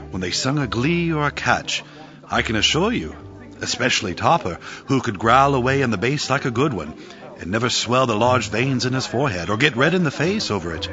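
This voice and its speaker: Man, audio play narrator, animated delivery, mid-pitched, slightly dull recording. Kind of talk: dramatised storybook narration. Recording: dull audio with music behind.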